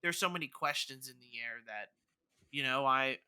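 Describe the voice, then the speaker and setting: A man's voice: medium pitch, normal speed, bright, forceful tone, clean tone. A man co-hosting a podcast in casual discussion, earnestly rambling as he thinks aloud. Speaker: podcast co-host.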